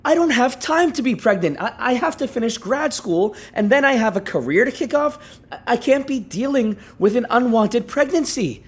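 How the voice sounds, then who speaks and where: Actor as indignant young male student, husky voice, animated intonation, protesting, exasperated, naturalistic radio drama acting. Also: extremely high pitch, moderate pace, heavily acted. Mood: indignant, upset.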